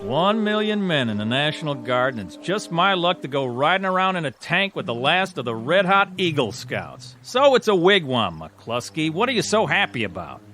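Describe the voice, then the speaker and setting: A man's voice: a little high, sing-song, moderate pace, few pauses, bright, forceful tone, soft background music. A man playing a sarcastic, cocky, teasing National Guard tank corporal in a radio drama. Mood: exasperated.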